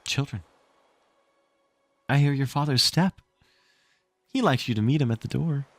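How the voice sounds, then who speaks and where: A man's voice: medium pitch, unhurried, no echo, soft background music, voice pushed up. A man voicing a prim, fussy young motherly girl in a storybook, speaking in a tart, simpering way.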